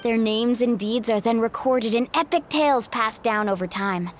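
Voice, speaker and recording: A woman's voice: fairly high pitch, normal speed, slight background noise, lightly acted. A woman voicing a confident, encouraging young woman in a game, upbeat and reassuring. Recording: game audio with faint music.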